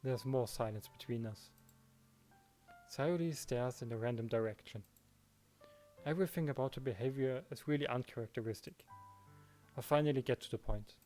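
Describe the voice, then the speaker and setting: A man's voice: mid-range voice, moderate pace, pauses often, mellow. A man reading first-person visual novel narration and the protagonist's lines in a dramatized way, with music behind him.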